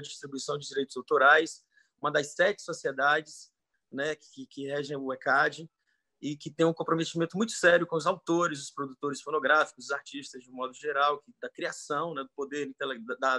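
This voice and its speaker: Bright-voiced man on a video call. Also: on the high side, steady tone, normal speed, dry recording. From Salvador, Bahia, Brazil. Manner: earnest, thoughtful, with frequent restarts. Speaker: panel guest, composer. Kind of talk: online panel discussion. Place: home room on a video call. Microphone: computer mic on a video call.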